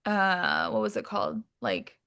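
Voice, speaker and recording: A woman's voice: mid-range voice, wildly swooping pitch, a little slow, vocal fry, bright, forceful tone. A woman chatting casually as an interview guest, candid and very informal in her wording. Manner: candid, informal, peppered with "like", mild swearing. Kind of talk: casual chat.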